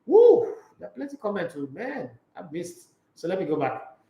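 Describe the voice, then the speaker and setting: A high-pitched man talks football in a loose, opinionated way and makes jokey asides. The room around him is echoey. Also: huge pitch swings, moderate pace, warm tone. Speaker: sports talk show host. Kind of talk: casual sports talk, reading news and viewer comments.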